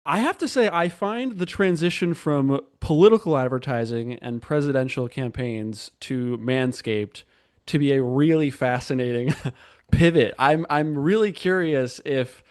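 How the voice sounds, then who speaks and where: A man hosting a marketing podcast, reading a scripted intro with upbeat, clear delivery and then asking interview questions. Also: mid-range voice, a little slow, darkish tone, no echo, studio quality. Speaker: podcast host and reporter.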